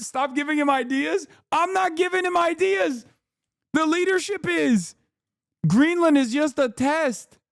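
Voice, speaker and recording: A man with a fairly high voice, talking close to a mic in a dry, dead room. He reacts to the news as a streamer, animated and sarcastic, laughing often and swearing freely. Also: clean tone, no echo. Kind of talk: casual live-stream commentary.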